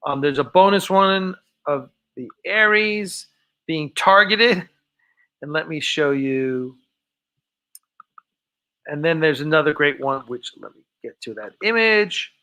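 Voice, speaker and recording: Bright-voiced man, rambling livestream host. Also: fairly high pitch, expressive. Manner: rambling, halting, self-interrupting. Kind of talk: casual, unscripted livestream chat.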